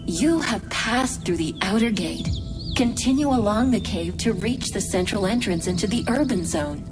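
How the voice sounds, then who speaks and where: Woman voicing a helpful polite ai assistant in a game, mid pitch, precise and formal, music behind. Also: lively intonation, moderate pace, natural voice. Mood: helpful, composed. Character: polite, helpful female artificial intelligence guide in ancient bracelet. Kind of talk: video game cutscene acting.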